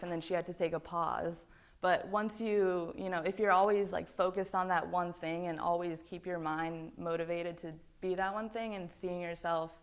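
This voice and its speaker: A woman's voice: on the low side, smooth. A young woman, a college student, introducing herself casually with lots of ums and likes. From Belgium. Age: young adult. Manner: chatty, many ums and likes.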